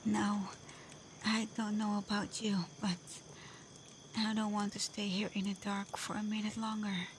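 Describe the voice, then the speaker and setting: A woman playing a gentle, devoted kitsune in love in an intimate ASMR roleplay, speaking tenderly and hesitantly, often dropping to a breathy whisper. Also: mid-range voice, little pitch movement, unhurried, slight background noise, natural voice, rough recording. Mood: soft, playful. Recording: faint cricket and night insect ambience.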